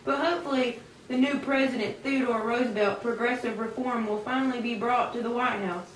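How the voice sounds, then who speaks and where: A woman's voice: on the high side, fairly even intonation, brisk, lightly acted. A woman playing an earnest old-time American man in an amateur puppet show. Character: earnest 19th-century American man, farmer or reformer. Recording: echoey room, distant mic, clear noise.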